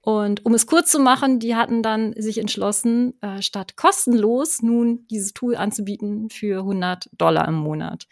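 A woman's voice: mid-range voice, moderate pace, creaky, hardly any pauses, dry recording, clean studio sound. A middle-aged woman talking in an interview as a guest, chatty, with many fillers and tag questions. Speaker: guest, online course creator. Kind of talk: conversational interview.

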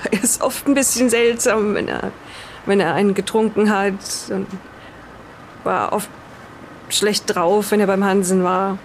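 A woman playing a shocked, reproachful wife in an improvised audio drama, speaking emotionally and haltingly. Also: medium pitch, fairly even intonation, a little hiss or hum, lightly acted. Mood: shaken. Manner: emotional, indignant, halting when shaken. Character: shocked, reproachful wife clinging to hope about her husband.

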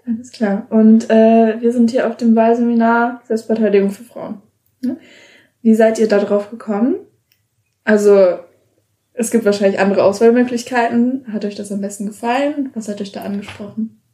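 A woman's voice: on the high side, flat delivery, normal speed, mellow. A young German woman hosting a podcast, chatting casually with seminar participants and asking friendly follow-up questions in a wooden hut. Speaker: podcast host. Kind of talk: casual interview. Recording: roomy echo.

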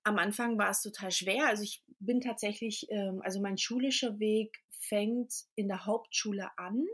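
A woman from Portugal, a podcast interview guest, telling her personal story in a reflective way with many pauses and restarts. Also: mid-range voice, fairly even intonation, fairly bright tone, husky.

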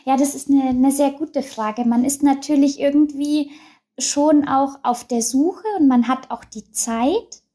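A woman's voice: high voice, fairly even intonation, unhurried, dark tone. A young woman as an interview guest, talking openly and chattily with many fillers and restarts. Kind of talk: casual personal interview.

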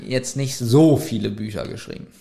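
Ein Mann, der als Co-Host in einem lockeren Podcast mit gespieltem Ernst seinen Partner aufzieht. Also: male voice, mid-range voice, normal speed, gravelly, mellow. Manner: playful, mock-serious ribbing. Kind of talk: casual podcast chat.

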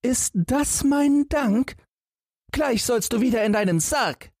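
A man's voice: very high voice, expressive, slow pace, darkish tone, no echo, clean studio sound, voice pushed up, heavily acted. A man voicing a fearless, naive and cheerful young lad in a storybook tale, perky and cheeky. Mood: indignant. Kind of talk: storybook character voice.

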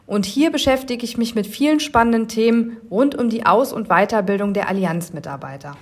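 A woman in her forties from Germany, hosting a podcast and telling her career story in a clear, measured way. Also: fairly high pitch. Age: 40s. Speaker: podcast host. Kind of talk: scripted podcast narration.

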